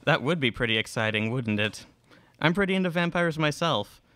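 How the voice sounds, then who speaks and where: A man's voice: a little high, expressive, fairly quick, fairly bright tone, no echo. A young man playing a suave male vampire in a student radio play, talking casually and coaxingly. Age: young adult. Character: suave male vampire luring a new admirer. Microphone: microphone on boom stand.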